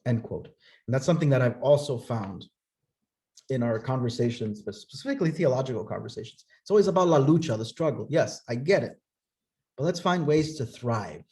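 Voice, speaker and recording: A man's voice: medium pitch, animated, a little fast, darkish tone. A man from Nicaragua giving an academic talk and answering questions, earnest and conversational, often checking in with "right?". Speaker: guest speaker.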